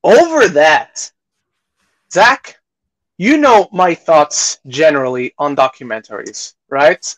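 A man with a slightly high voice talks casually as a podcast host giving reviews. He often repeats and restarts phrases, slipping into quoted reactions as he goes. The sound is close and dry. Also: animated, dry recording, poor audio. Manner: halting, repeats phrases, acts out imagined reactions.

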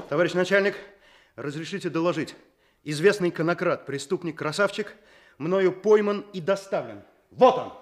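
An actor playing an earnest, modest young police officer in a radio play, with a brisk, boyish way of talking. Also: male voice, sits high, lightly acted. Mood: proud, reporting.

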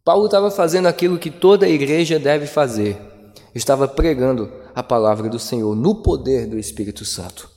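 A man preaching a sermon as pastor, with dramatic pauses and emphatic delivery. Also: mid-range voice, animated, darkish tone. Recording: long hall reverb.

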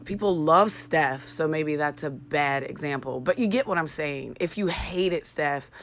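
Low raspy woman hosting a podcast. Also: fairly bright tone. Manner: chatty, candid, ranting with swearing and self-mocking humor. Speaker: podcast host. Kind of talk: casual solo podcast monologue.

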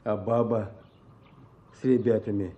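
An actor playing a gruff, reluctant village fisherman and family man in a Russian radio play. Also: lowish voice, little pitch movement, measured pace, background noise, close to an everyday voice. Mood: reluctant, troubled. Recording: dull old radio play recording.

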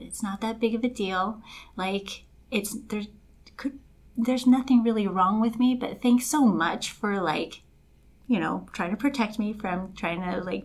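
A young woman raised in Los Angeles, co-hosting a podcast as a life coach and explaining ideas in casual chat, full of fillers. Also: mid-range voice. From Los Angeles, raised by Colombian family. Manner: chatty, many fillers like "like" and "kinda".

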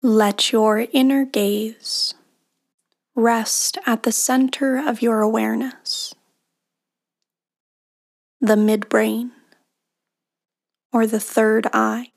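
A woman with a fairly high voice guides a meditation at an extremely slow pace. Her delivery is even and soothing, with long pauses between short phrases. The ends of her phrases soften into breath. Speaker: meditation guide, podcast host.

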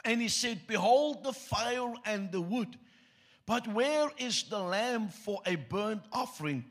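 Afrikaans-accented preacher guy, husky and kinda high voice, cracks jokes then reads scripture. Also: animated, takes it slowly, fairly bright tone. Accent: South African Afrikaans. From South Africa. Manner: joking, self-deprecating asides. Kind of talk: sermon with scripture reading.

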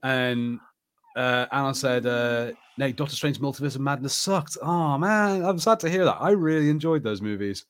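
A man co-hosting a podcast in casual watch-party chat, talkative and quick to laugh. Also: mid-range voice. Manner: chatty, laughs often. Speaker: podcast co-host.

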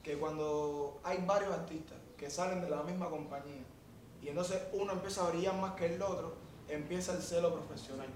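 A man's voice: on the high side, fairly quick. Man talking music, echoey room. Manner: explains at length, animated when stressing points. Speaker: podcast co-host. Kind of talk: casual chat about urban music. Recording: roomy echo, distant from mic, faint noise.

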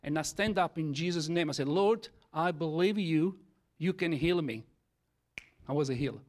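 A man whose first language is Italian, speaking English with an Italian accent as he gives a church testimony in halting speech full of fillers. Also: on the high side. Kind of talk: testimony sermon.

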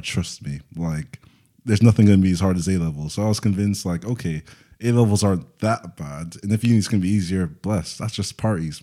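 A man's voice: sits low, dark tone. A man in his twenties from Bolton, co-hosting a podcast, telling stories in a laid-back way with frequent laughs. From Bolton, England. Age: 20s. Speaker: podcast co-host. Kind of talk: casual chat.

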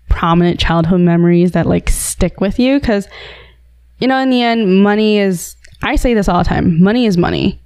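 A woman's voice: fairly low pitch, warm tone, clear, resonant tone, dry recording, clean studio sound. A woman hosting a casual conversation, asking questions and musing on memories and money. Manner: reflective, interviewer asking questions. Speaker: host.

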